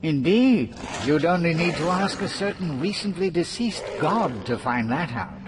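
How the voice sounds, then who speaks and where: Male game villain: higher-voiced, slow, savouring trickster schemer, mocking and theatrical, ambient noise behind. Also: expressive. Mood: smug. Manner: taunting, theatrical, drawn-out sarcastic delivery. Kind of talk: video game cutscene villain acting.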